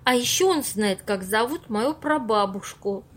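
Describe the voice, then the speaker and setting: An actress playing an earnest, naive, wondering woman at a séance in an audio play, speaking deliberately. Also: on the high side, expressive. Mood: puzzled. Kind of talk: amateur audio play acting.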